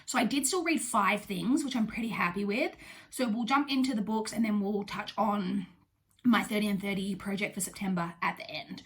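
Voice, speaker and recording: A woman's voice: mid-range voice, normal speed, a bit bright. A young adult woman with a blocked-up, nasal voice from a cold, chatting casually through a book review with lots of ums and likes. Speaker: booktuber host.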